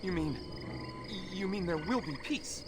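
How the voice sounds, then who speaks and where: An actor playing an anxious, timid man in a video game, speaking in a halting, fearful, stammering way. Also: high-pitched, animated. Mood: shocked, fearful. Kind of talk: dramatic video game voice acting. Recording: very noisy night ambience with insects.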